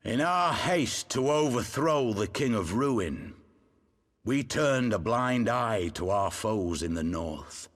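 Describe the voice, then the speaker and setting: A man's voice: mid-range voice, animated, slow, a bit bright. An actor playing an impassioned rebel leader rallying his exiled countrymen in a game cutscene, with emphatic, declamatory oratory. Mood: grave, ashamed. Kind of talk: theatrical video game oratory.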